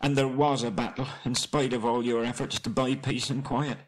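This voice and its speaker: A man's voice: medium pitch, steady tone, normal speed, pitched above the performer's own voice, lightly acted. A man voicing a small, good-natured hobbit in a storybook, earnest and rueful, with polite old-fashioned phrasing. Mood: mournful, self-reproachful.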